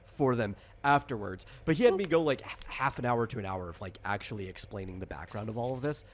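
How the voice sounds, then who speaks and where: A man's voice: medium pitch, lively intonation, quick pace, slight background noise. A man hosting and explaining a game in casual chat, thinking aloud in a rambling way. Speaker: game designer and host.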